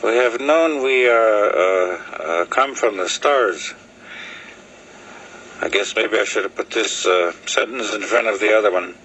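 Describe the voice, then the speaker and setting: A man whose first language is Lakota, talking casually to a video camera in halting English, often pausing with fillers as he searches for words. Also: on the low side, a bit bright, slight background noise, rough recording. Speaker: vlogger. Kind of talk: casual rambling talk to camera. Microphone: video camera mic.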